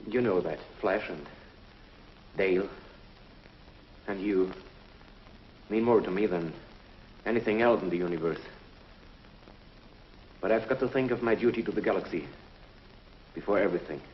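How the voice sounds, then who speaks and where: An actor playing a grave, hesitant galactic commissioner in a 1950s TV drama, on a muffled old soundtrack. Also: male voice, deep voice, normal speed, long pauses, slight background noise, lightly acted. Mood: solemn. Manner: hesitant, solemn.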